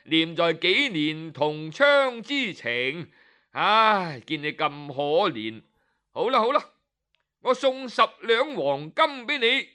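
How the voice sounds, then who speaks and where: A man voicing a smug, powerful male minister who drawls with slow mockery. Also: fairly high pitch, sing-song, pushed and bright, clean tone, full character voice. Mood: sneering. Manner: drawling, mocking, sarcastic.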